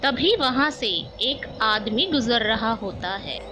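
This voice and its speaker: A woman's voice: on the high side, expressive, slow pace, noisy background. A woman narrating a scripted story.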